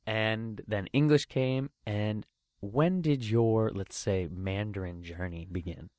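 Encouraging low-voiced male host. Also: takes it slowly, no room sound, studio quality. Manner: warm encouraging, "you know" fillers. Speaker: podcast host. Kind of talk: casual interview.

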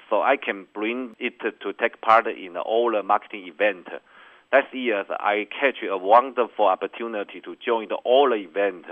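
A man from Taiwan, a company executive, answering interview questions in careful, earnest English. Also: lowish voice. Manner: careful non-native English, measured and earnest. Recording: phone-like narrow band.

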